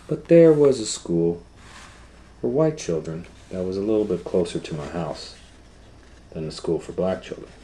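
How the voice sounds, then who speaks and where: A man reading a children's book aloud as a storytime educator, in simple, deliberate phrases with explanatory asides. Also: sits low, lively intonation, warm tone, a little hiss or hum, poor audio.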